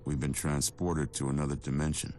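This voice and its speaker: An actor playing a grim, terse superhero detective in a video game, serious and matter-of-fact. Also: male voice, a bass voice, flat delivery, fairly quick, a little mellow, a little hiss or hum. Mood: stern, measured. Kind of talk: video game cutscene acting.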